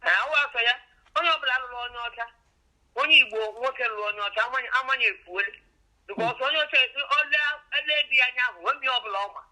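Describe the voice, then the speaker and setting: Very fast, sharp-voiced Nigerian woman. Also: a little high. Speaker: mother of the vlogger. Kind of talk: animated casual phone conversation. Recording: thin, bright telephone line.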